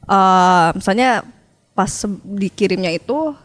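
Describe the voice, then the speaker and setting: A woman's voice: mid-range voice, measured pace. Female guest, explains plainly and eagerly. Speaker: business owner guest. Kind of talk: casual interview.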